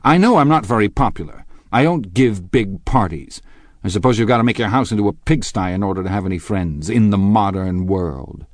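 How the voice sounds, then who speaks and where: A male narrator plays a pompous, suspicious husband in an audiobook. The deep voice is scornful and incredulous, snapping out its challenges in a close, dry recording. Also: sing-song, brisk, few pauses, no room sound. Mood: indignant. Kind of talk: audiobook character dialogue.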